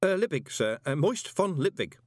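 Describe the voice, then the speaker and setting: A man's voice: fairly high pitch, very animated, normal speed, a little mellow, husky, no room sound, studio quality, voice pushed up. A man voicing a flustered young man who stammers and gets cut off. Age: young adult.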